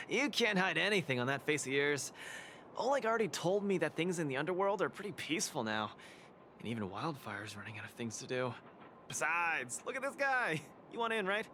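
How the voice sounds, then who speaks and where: An eager young boxing champion from a video game, with a bright, forceful voice. His pitch jumps around with excitement as he teases and brags in a casual, cocky way. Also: fairly quick, slight background noise. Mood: excited.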